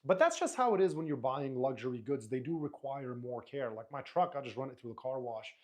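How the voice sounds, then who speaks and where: A man whose first language is Arabic, hosting a live stream in a relaxed, rambling way, reading viewer comments aloud and answering them. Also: medium pitch, brisk. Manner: relaxed, rambling, frequent restarts and fillers.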